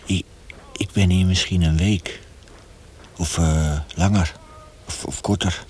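An actor playing a feverish, tortured young male prisoner facing death in a radio drama, speaking haltingly with hushed passages. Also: sits low, steady tone, slight background noise. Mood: resigned, regretful.

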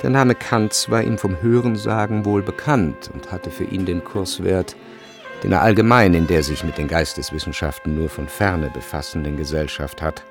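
A male narrator reads scripted literary prose for a radio play. His voice is low and gravelly, measured and precise, with music clearly playing behind him. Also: steady tone.

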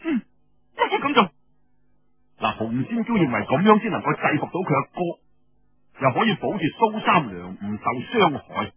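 Husky Cantonese storyteller man, animated delivery. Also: fairly high pitch, normal speed, highs cut off, faint music behind. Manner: colloquial, expressive, with rhetorical asides and exclamations. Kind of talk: dramatic traditional oral storytelling narration.

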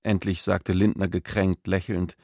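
A man narrating a literary radio play in a measured, deliberate manner. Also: low voice, flat delivery, creaky, a little mellow, no room sound, clean studio sound.

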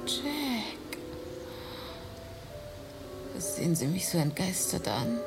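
An actress playing a frail, exhausted young lady in a German radio play, breathy, languid and gracious. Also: fairly low pitch, sing-song, very slow, loud music behind the voice, heavily acted. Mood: weakly gracious. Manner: languid, polite. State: weak, breathy.

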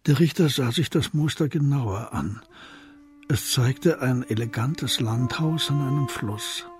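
An actor as the narrator of a radio crime drama, reading literary description in a measured, composed manner. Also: male voice, medium pitch, a little mellow, soft background music, natural voice. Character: composed literary storyteller of a detective tale.

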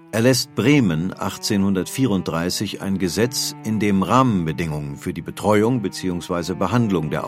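A man reading scripted historical documentary material as a narrator. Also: fairly low pitch.

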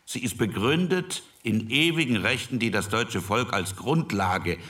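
Measured male delegate, formal and critical, low-pitched, slightly distant in a radio docudrama. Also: lively intonation, pushed and bright. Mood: dignified, measured. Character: critical, dignified male delegate in constitutional debate. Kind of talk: scripted docudrama debate.

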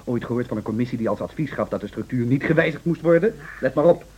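A man's voice: medium pitch, lively intonation, fast, a little mellow, husky. A man playing a cynical, mocking husband in a Dutch radio play, lecturing in a sardonic way. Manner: sardonic, lecturing, piling up lists.

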